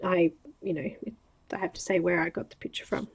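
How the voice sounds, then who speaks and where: A woman with an Australian accent and a nasal voice, chatting casually while coloring on a livestream, thinking aloud with pauses. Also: fairly low pitch, level tone, creaky, breathy edge.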